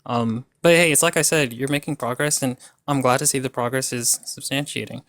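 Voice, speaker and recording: A man's voice: medium pitch, fairly even intonation, fairly quick, fairly bright tone. A man in an online debate, mocking and casual, trash-talking with lots of fillers and slang.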